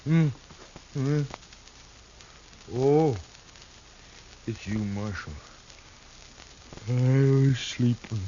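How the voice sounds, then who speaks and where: An actor playing a simple, timid cowhand in a 1950s radio western, naive and halting. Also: male voice, mid-range voice, mellow. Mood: drowsy. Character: simple, timid, childlike male cowhand in trouble. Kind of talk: 1950s radio drama acting. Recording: dull, hissy old radio recording.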